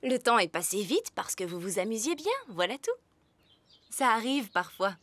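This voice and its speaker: A woman's voice: medium pitch, lively intonation, moderate pace, fairly bright tone, no echo. A woman voicing a kindly, teasing elf mother in a cartoon dub, with a motherly, sing-song manner. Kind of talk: animated cartoon dubbing. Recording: light music underneath.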